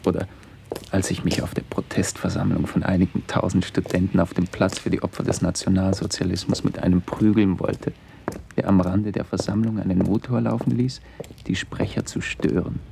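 An actor playing a brooding, wry writer who muses in halting fragments in a radio play monologue. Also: male voice, low voice, flat delivery. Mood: wry, musing. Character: brooding wandering writer, a man, wry and melancholy. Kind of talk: first-person literary radio play monologue. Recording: clearly noisy ambient radio recording.

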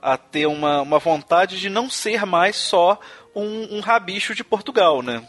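Higher-voiced man chatting on a podcast. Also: animated, measured pace, fairly bright tone. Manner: hesitant, many restarts and tag questions. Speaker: podcast host. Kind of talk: casual conversational chat on music history.

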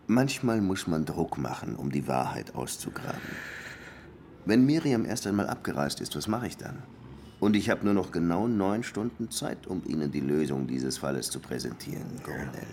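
An actor playing a dogged, brooding Cuban police lieutenant in a radio crime drama, insistent in questioning and reflective in narration. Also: male voice, deep voice, sing-song, moderate pace, a little mellow, a little hiss or hum. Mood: firm, respectful.

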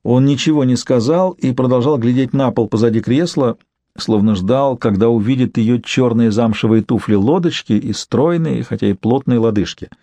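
Male audiobook narrator for literary fiction: mid-pitched, mellow tone, close dry sound. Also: normal speed, no echo.

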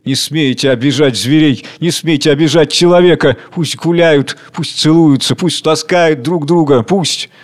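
A man acting a tender, excitable worker-poet who declaims in a pleading, dreamy way. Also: on the high side, moderate pace, close to an everyday voice. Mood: fervent, dreamy.

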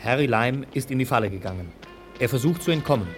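Guy as sober narrator for a crime radio drama, average pitch, music playing underneath. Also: expressive, fast talker, lightly acted. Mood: sober, suspenseful.

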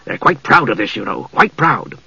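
Man playing a gruff, growling dwarf rogue. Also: mid-range voice, animated, a little fast, a bit bright, dry recording, highs cut off. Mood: hushed caution. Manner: growling, stiff, muttering. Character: gruff, proud, irritable male dwarf rogue.

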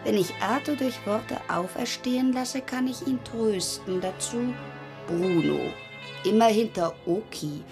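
Radio play role: actress as defiant, ironic daughter, medium pitch, slow and deliberate, music behind. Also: female voice, natural voice. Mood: musing, sardonic. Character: defiant grown daughter, musing with bitter irony. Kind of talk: radio drama acting.

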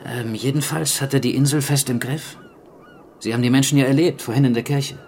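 An actor playing a grim island survivor in a radio drama, recounting catastrophe in terse phrases. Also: male voice, mid-range voice, steady tone, fairly quick, a little mellow, a little hiss or hum, close to an everyday voice. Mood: bitter. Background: music.

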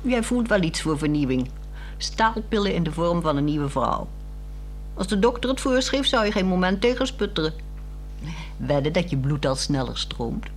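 A woman's voice: fairly low pitch, sing-song, normal speed. A woman acting a yearning, coaxing wife who speaks frankly in a 1960s radio drama. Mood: teasing. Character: restless, yearning wife, coaxing and frank, craving new romance. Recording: 1960s radio play, music behind.

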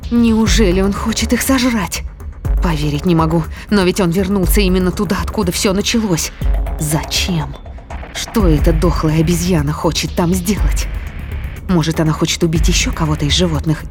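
A low-pitched female voice from an actress plays a combative woman aboard a spaceship in an audio drama. She is blunt and tense, firing out exclamations and questions. Music runs clearly behind her. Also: moderate pace, natural voice. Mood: anxious, resolute.

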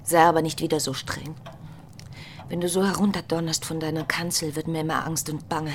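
A woman's voice: sits low, fairly even intonation, a little fast, slight background noise. An actress playing a pastor's affectionate, jealous, needy wife in a radio play, coaxing and probing as she talks. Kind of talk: naturalistic German radio play acting. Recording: music behind the voice.

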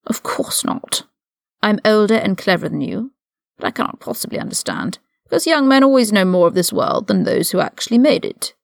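A woman voicing an older aristocratic male wizard prisoner, haughty and mocking, with measured, condescending, formal speech in an audiobook-style story reading. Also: mid-range voice, sing-song, vocal fry, no room sound, studio quality. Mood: annoyed, disdainful.

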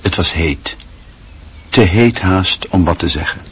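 Husky low-voiced male narrator. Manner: calm, measured storytelling. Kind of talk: radio play narration.

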